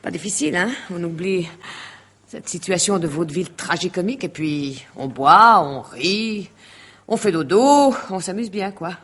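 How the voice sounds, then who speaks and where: A woman's voice: on the low side, expressive, normal speed, a bit bright. A woman playing a nervy, impulsive lover in a French radio mystery drama, emotional and insistent, repeating her words. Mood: forced gaiety. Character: nervy, impulsive woman lover, forced gaiety turning to fear.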